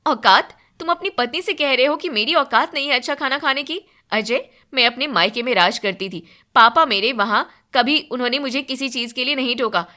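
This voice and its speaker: An indignant young daughter-in-law from a storybook family drama, acted by a woman narrator. Her voice is high and sharply bright. She complains and demands as someone sure of what she is owed. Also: brisk, hardly any pauses.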